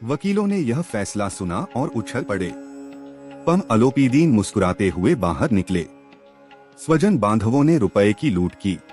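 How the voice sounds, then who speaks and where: A man narrating a literary story as a scripted audiobook, with expressive, emphatic delivery. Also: on the low side, unhurried, soft background music.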